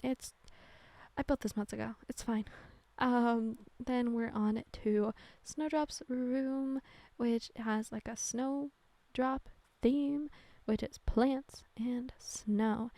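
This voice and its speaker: A young woman chats casually, rambling and correcting herself with plenty of fillers. Her fairly high voice carries a gravelly vocal fry. She is recorded close up on a dry microphone, with no room sound. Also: dark tone, no room sound. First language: Norwegian. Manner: rambling, chatty, self-correcting with many fillers. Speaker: game builder narrating a speedbuild. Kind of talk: casual voice-over chat.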